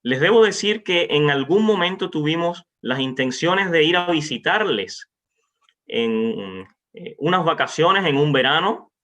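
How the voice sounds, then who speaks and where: A man in his forties speaking informally to a congregation as a visiting preacher, halting with frequent pauses. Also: a little high, lively intonation, a bit bright. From Cuba. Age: 40s. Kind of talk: informal testimony and greeting to a congregation. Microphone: remote online meeting microphone. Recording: dull, lo-fi online meeting audio.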